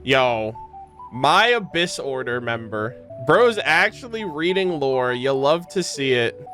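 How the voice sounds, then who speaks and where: A man streaming a video game and reacting casually, in slangy, excitable commentary. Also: medium pitch, slow, music in the background.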